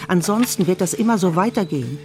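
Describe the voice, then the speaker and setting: A woman's voice: lowish voice, lively intonation, quick pace, a little mellow, soft background music. A woman playing an earnest, self-absorbed art therapist and patient who lectures insistently in an audio drama. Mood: lecturing, intense. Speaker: actor in a drama. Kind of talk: dialogue acting in an audio drama.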